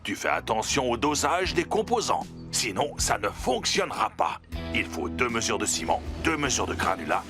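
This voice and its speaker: A man's voice: mid-range voice, very animated, moderate pace, over music, natural voice. A man voicing a careful, earnest talking cement-mixer truck in a French cartoon dub, instructing his workmate.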